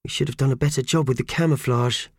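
Man voicing high, squeaky, earnest little mouse. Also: steady tone, fast, no echo, studio quality, close to an everyday voice. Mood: worried. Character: small eager, fretful male talking mouse.

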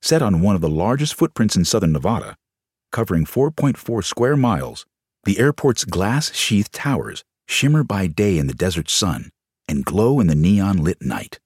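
A man's voice: sits low, very animated, normal speed, darkish tone, breathy edge, no room sound, clean studio sound. A man reading scripted nonfiction as an audiobook narrator, with a dramatic, showman-like delivery.

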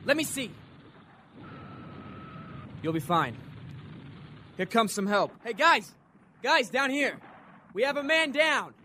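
Man acting as high, excitable young Marine. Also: slow pace, pauses often, slight background noise, full character voice. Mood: urgent. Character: young WW2 Marine infantryman, alert and excitable. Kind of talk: amateur radio war drama acting.

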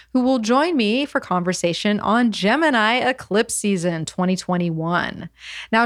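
A woman's voice: on the high side, no echo, clean studio sound. A woman from California hosting a podcast interview, upbeat and chatty with some fillers. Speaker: podcast host.

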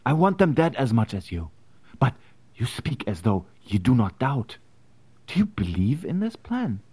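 A man acting as a weary, doubtful but loyal immigrant conspirator in an 1860s period drama, speaking earnestly and deliberately. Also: mid-range voice, big pitch swings, dark tone, husky. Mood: doubtful, frustrated. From Prussia (character).

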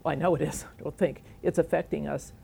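A woman educator speaking earnestly and in a measured way in an interview about higher education. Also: steady tone, vocal fry, mellow, a little hiss or hum. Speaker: educator guest. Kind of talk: conversational interview.

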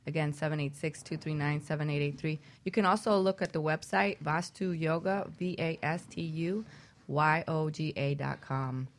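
A woman's voice: deep voice, fairly even intonation, moderate pace. A woman hosting a radio show in a casual, chatty style. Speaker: radio show host, yoga teacher.

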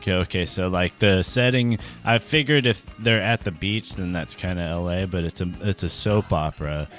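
A man's voice: deep voice, moderate pace, a bit bright, faint music behind. A man co-hosting a comedy podcast, talking in casual, joking banter.

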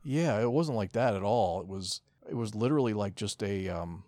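A man's voice: fairly low pitch, lively intonation, normal speed, darkish tone, dry recording. A man co-hosting a podcast in casual chat, rambling and swearing freely as he goes. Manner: rambling, profane. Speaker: podcast co-host.

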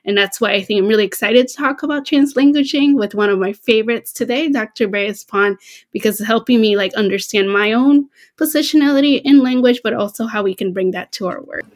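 A woman's voice: fairly high pitch, fairly quick. A woman from Rico, a Spanish speaker, answering interview questions in an earnest, thoughtful way. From Puerto Rico. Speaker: research professor, podcast guest. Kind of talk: reflective conversational interview answer.

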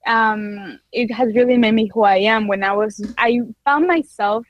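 A woman's voice: a little high, normal speed, dry recording, lo-fi sound. A woman in her twenties from Ecuador with a Spanish accent, eagerly telling her story as an interview guest, laughing often and restarting sentences. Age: 20s. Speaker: guest entrepreneur. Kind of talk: casual interview chat.

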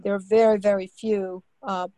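A woman's voice: medium pitch, level tone, moderate pace, dark tone, no echo. A woman hosting an interview, prompting her guest with questions and fillers. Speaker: interview host. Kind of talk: interview conversation.

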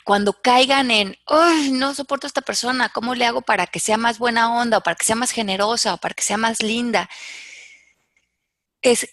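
A woman's voice: medium pitch, a bit bright, dry recording. A woman with a Mexican accent speaking as a life coach, explaining self-help ideas in an emphatic, didactic way with examples.

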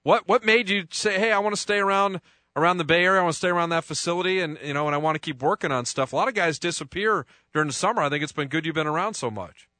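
Bright-voiced male radio host. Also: fairly high pitch, quick pace, dry recording. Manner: chatty, joking, lots of fillers. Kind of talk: radio interview.

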